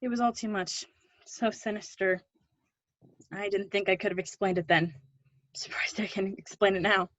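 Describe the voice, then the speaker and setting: A woman reading the part of an older, guarded but protective woman in a play, conversational and gently teasing. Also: mid-range voice, steady tone, moderate pace, long pauses, dry recording, dull audio, lightly acted. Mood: soft, reflective. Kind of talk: play readthrough acting.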